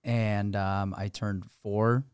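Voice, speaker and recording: A man's voice: fairly low pitch, flat delivery, takes it slowly, a little mellow, smooth, no echo. A man in his twenties from Florida, a guest in a podcast studio, telling his story in an easygoing, casual way. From Florida, USA. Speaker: guest entrepreneur. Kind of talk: casual interview chat.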